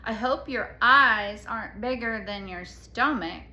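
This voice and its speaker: Woman as a bright-voiced school lunch lady. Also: a little high, a little slow, roomy sound. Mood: matter-of-fact. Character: matter-of-fact female school lunch server.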